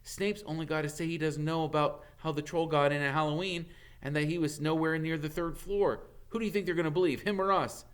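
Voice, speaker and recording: A man's voice: on the high side, steady tone, a little fast, clean tone, pitched above the performer's own voice, close to an everyday voice. A man voicing a troubled, downcast schoolboy, gloomy but insistent. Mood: worried insistent. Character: troubled, downcast schoolboy arguing his point.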